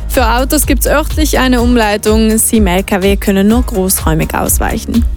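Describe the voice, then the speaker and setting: Female radio service presenter, slightly high voice, upbeat weather and traffic read over light music. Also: normal speed, darkish tone. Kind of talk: upbeat scripted service update.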